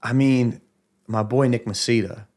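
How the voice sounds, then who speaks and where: A man's voice: medium pitch, a little slow, a little mellow. A man in his late twenties from upstate New York, a podcast guest rapper who talks in a loose, rambling way with many restarts. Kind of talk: casual podcast conversation.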